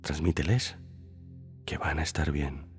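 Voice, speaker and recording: A man leading a scripted guided meditation in calm, unhurried phrases with long pauses. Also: rumbling low. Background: background music.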